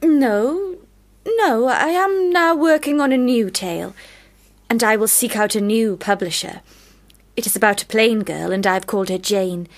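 A woman's voice: a little high, normal speed, lightly acted. A woman playing a commanding, teasing eldest sister in a period radio drama, speaking in a formal, theatrical way. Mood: firm, secretive.

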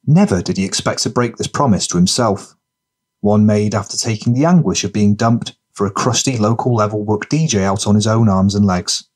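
A man reading scripted fiction narration as an audiobook narrator. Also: fairly low pitch.

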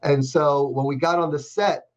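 An older man hosting a casual interview over a video call from a dark room, rambling with frequent restarts. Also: a little high, fairly even intonation, moderate pace. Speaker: podcast host. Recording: dull lo-fi video call audio.

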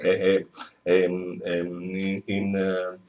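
A man's voice: deep voice, completely flat delivery, slow, a little mellow. A middle-aged man with an Italian accent interviewing a friend at his home, searching for words in English, recorded on a webcam. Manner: hesitant in English, many fillers and restarts. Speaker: interviewer, host. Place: his home living room. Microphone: webcam at desk.

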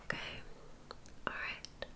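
A woman guiding ASMR relaxation in a breathy whisper, soothing and often repeating her phrases. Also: slow pace. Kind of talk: ASMR sleep hypnosis.

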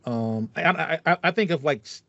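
Man, thirties, slightly high voice, enthusiastic rambling podcast chat, dry close sound. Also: expressive, moderate pace, vocal fry, bright, forceful tone, no echo. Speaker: podcast co-host. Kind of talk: casual chat about video game music. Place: small home room with foam panels, indoors. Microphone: headset on a video call. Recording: slightly muffled, dry online call audio.

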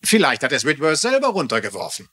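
Smug male police lieutenant, TV crime drama, sarcastic, condescending, bright forceful voice, animated delivery. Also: on the high side, fast, husky, full character voice. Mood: casual, dismissive. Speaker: voice actor in a German crime series dub. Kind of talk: dubbed TV crime drama dialogue.

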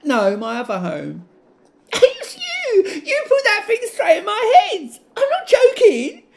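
An excitable young woman in an audio drama, very high-pitched and wildly animated. She gushes to a friend in slangy bursts, giggly and mock-outraged. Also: slow, vocal fry, noisy background, full character voice. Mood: giddy, incredulous. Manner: exclamatory, slangy, laughing. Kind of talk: audio drama monologue as casual chat.

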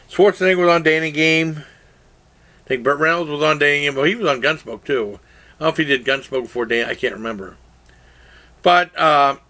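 A man's voice: a little high, bright, forceful tone. An older man casually reviewing a game show to his webcam in a small room, rambling with many fillers and restarts. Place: small room indoors, plain wall. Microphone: webcam at desk.

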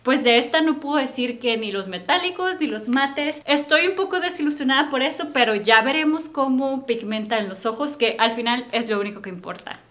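A young woman with a Mexican accent, chatting casually to camera about makeup she bought, recorded at home in a bright room. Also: high voice. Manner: chatty and upbeat, friendly direct address. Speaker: beauty vlogger.

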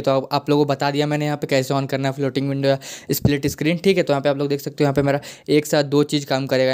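Man, Indian accent, casual tutorial host, even monotone pitch, rapid, repetitive. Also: clear, resonant tone. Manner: repetitive, addresses viewers as guys. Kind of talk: casual screen-recorded tutorial.

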